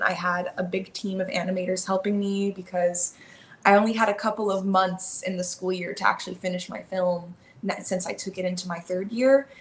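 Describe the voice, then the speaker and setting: A young American woman chats freely and upbeat, scattering likes and ums as she talks about her work. Her mid-pitched voice sits in a roomy, echoey space. A faint ambient hum runs underneath. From Miami, Florida. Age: young adult. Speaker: animation filmmaker guest. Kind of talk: casual interview chat.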